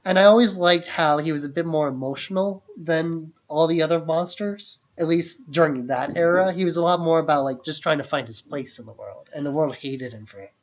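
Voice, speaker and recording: A man's voice: on the high side, fairly quick, hardly any pauses. Loose-talking male podcaster, muffled lo-fi audio. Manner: loose, filler-heavy phrasing with frequent "like". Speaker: podcast co-host. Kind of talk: casual film discussion.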